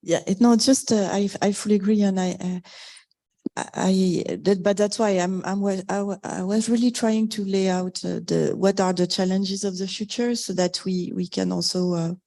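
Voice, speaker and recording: A woman taking part in an impromptu roundtable discussion, agreeable and halting as she works toward her point. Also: mid-range voice, fairly even intonation, warm tone. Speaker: crisis-response professional, roundtable participant.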